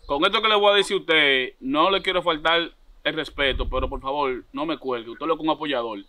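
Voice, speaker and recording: A man's voice: a little high, a little fast, noisy background. A man in his thirties with a Dominican accent, making an insistent, repetitive, confrontational prank phone call. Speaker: prank caller. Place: outdoors by a block wall.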